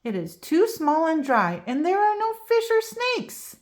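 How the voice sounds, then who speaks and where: Woman voicing a gentle young schoolboy. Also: extremely high pitch, huge pitch swings, measured pace, voice pushed up, heavily acted. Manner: gentle and reassuring. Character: kind, patient schoolboy.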